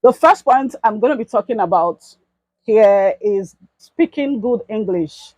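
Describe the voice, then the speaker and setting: A woman's voice: medium pitch, animated, a little slow, dark tone, no echo. A young Nigerian woman talking casually to her viewers in an advice vlog. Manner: chatty, addresses viewers as bestie. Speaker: YouTube vlogger.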